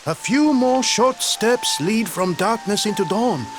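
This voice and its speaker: Serene, hopeful man: high-pitched, poetic, unhurried, music behind. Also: lively intonation. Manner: lyrical, measured. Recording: game music and rain behind.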